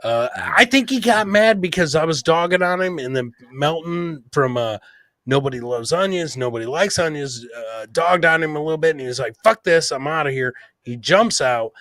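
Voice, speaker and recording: A man's voice: on the high side, animated, moderate pace, a bit bright, no echo. A man hosting a casual podcast, talking bluntly and swearing freely like he is among buddies. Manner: blunt, profane, buddy-like talk. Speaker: podcast host.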